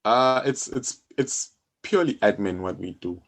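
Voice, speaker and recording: A South African man explaining things conversationally as an interview guest, often halting and repeating his phrases. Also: medium pitch, animated. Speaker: interview guest, music publisher.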